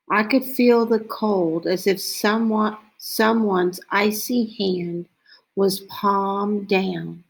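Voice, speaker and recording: A woman reading a children's picture book aloud as a storyteller, in a deliberate way. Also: mid-range voice, gravelly.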